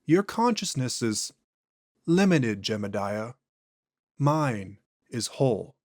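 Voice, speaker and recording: Man playing an eerie possessing entity, dragging slow speech, dry close sound, detached. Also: medium pitch, very animated, no echo. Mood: imperious.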